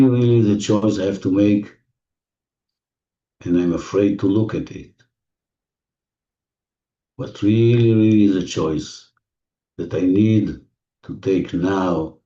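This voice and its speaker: A man leading a guided visualization in non-native English phrasing, giving gentle, repetitive instructions with deliberate pauses, recorded muffled and distant in an echoey room. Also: low-pitched. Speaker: guided meditation leader. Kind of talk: guided visualization, spoken slowly to a listener.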